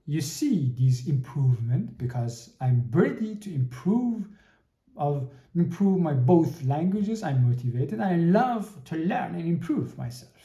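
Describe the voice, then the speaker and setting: A man, hosting his podcast alone and talking in a halting way, often restarting his sentences and searching for words. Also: sits high, warm tone, roomy sound. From Iran. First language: Persian. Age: 30s. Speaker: podcast host. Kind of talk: solo podcast monologue.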